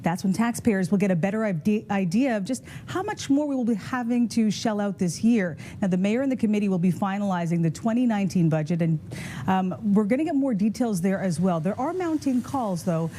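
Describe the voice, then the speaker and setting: A woman's voice: medium pitch, animated, a little fast, warm tone, a little hiss or hum. Woman reporting from newsroom. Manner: clear, informative. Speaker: news reporter. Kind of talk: news report.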